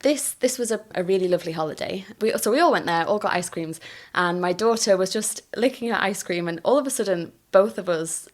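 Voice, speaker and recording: A middle-aged woman, a photographer, chatting in her home as an interview guest, warm and giggly with hesitant restarts. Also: medium pitch, lively intonation, brisk. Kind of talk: casual interview.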